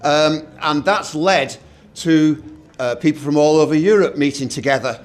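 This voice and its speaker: A middle-aged man with a loud voice, co-hosting an event in a hotel meeting room, telling stories with restarts and dry jokes. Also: a little high, normal speed. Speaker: co-host.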